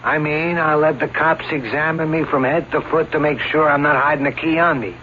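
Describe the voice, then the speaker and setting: Man playing a brash, boastful showman, higher voice. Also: fairly even intonation, fairly quick, natural voice. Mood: cocky, scheming. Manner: cocky, slangy streetwise talk. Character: brash, boastful male escape artist and showman, married. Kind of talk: vintage radio drama acting. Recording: dull, noisy old radio drama recording.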